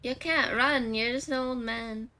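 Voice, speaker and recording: A woman's voice: fairly high pitch, fairly even intonation, unhurried, bright, forceful tone. A woman streaming a video game, giving playful, chatty casual commentary. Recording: faint music behind the voice.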